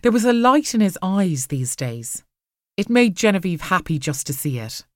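A woman narrating an audiobook story in an expressive, scripted reading. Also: on the low side, normal speed, no room sound, studio quality.

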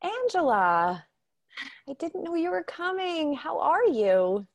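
A woman's voice: very high voice, sing-song, slow, no echo, exaggerated voice. A woman acting a caring, fussing mother of a grown daughter in a radio drama. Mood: friendly, fussing.

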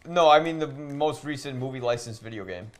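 A man's voice: medium pitch, animated, brisk, slight background noise. A man in a casual, informal podcast chat, talking loosely while playing a video game. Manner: informal, swearing. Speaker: podcast co-host.

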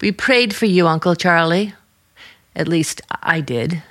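A woman's voice: fairly low pitch, measured pace, fairly bright tone. An actress playing an earnest, troubled young American small-town woman with restrained resolve, in vintage radio drama style. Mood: guarded.

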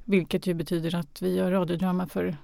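Creaky-voiced, hesitant woman guest. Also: fairly low pitch, level tone, warm tone, no echo, studio quality. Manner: hesitant, searching for words. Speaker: guest, radio drama artistic director. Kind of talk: interview answers.

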